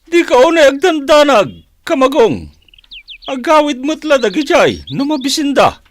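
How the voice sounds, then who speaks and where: Radio drama village chief, fatherly and reassuring, very high lively voice, played by a woman. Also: moderate pace.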